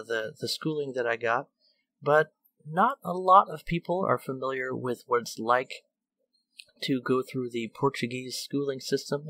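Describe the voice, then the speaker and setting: A man's voice: medium pitch, bright, forceful tone. A man hosting an interview, asking long, empathetic questions and relating them to his own life. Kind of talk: casual interview.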